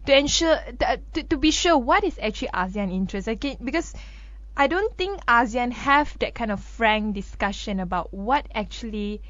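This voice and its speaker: A woman's voice: fairly high pitch, lively intonation, moderate pace, fairly bright tone, no room sound, muffled recording. A woman co-hosting a radio current affairs discussion. She gives firm opinions and explains with everyday analogies. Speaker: radio show co-host.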